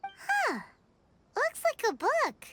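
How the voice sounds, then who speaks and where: A woman voicing a tiny, excitable floating fairy companion in a video game, with a squeaky childlike voice and a chirpy manner. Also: extremely slow, noisy background, full character voice. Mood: curious. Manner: chirpy, talks about self in third person. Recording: loud music behind.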